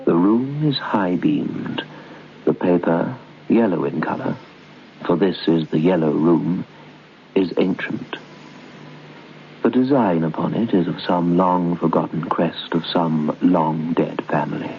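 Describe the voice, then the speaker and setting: Solemn horror narrator, very deep male voice, deliberate ominous delivery, old radio drama, dull hissy recording. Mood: hushed, ominous. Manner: deliberate, portentous.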